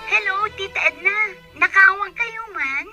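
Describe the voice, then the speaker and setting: Courteous young college friend played by a woman, extremely high and sharp, radio-play style. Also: moderate pace, soft background music, full character voice. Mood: polite, helpful. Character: polite, obliging young female college friend. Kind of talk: Ilocano audio drama acting.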